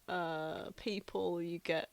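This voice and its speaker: A woman's voice: fairly low pitch, fairly even intonation, slow pace, vocal fry, no echo. A woman co-hosting a podcast in casual chat, trading blunt, sweary banter. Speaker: podcast co-host.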